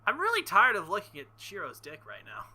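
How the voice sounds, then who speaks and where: A man reading a visual novel aloud with casual commentary, halting and embarrassed, often breaking into nervous laughter. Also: high voice, wildly swooping pitch, pushed and bright. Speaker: let's play host.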